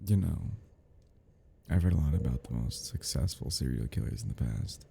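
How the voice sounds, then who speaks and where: Man acting a dark, gravelly, obsessed yandere captor. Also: very deep voice, lively intonation, moderate pace, slight background noise, full character voice. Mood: obsessed, admiring. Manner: rambling, breathy chuckles, drops into whispers. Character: obsessed yandere male crime scene cleaner, giddy, menacing. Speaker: audio roleplay performer. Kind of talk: intimate ASMR-style dramatic roleplay.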